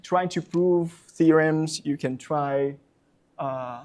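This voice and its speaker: A slightly high-voiced man with a Brazilian Portuguese accent, a non-native speaker, giving a public talk as a professor. He speaks haltingly, stacking fillers and repeating words as he searches for phrasing. Heard in a public library talk room. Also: a little mellow. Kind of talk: public lecture.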